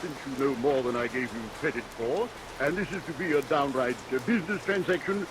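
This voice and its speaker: A man's voice: medium pitch, fairly quick, close to an everyday voice. An actor playing a wary, self-righteous tradesman with a prim, hesitant manner in a vintage radio melodrama. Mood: wary bargaining. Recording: hissy, noisy vintage radio recording.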